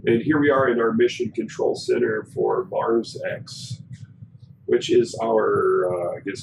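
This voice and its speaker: A hoarse man with a cold walks through a game in casual, rambling commentary, full of fillers. His voice is raspy and gravelly and sits on the low side. A faint hiss runs under the recording. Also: a little mellow, rough recording. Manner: rambling, filler-heavy explaining. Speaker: gaming channel host.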